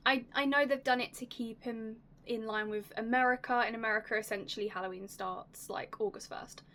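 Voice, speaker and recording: A young woman hosting a casual unboxing vlog, chatty and enthusiastic, her voice slightly raspy and going hoarse. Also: a little high, fairly even intonation, fairly quick. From United Kingdom. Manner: chatty, enthusiastic, drops to soft whispers at times. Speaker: YouTube host.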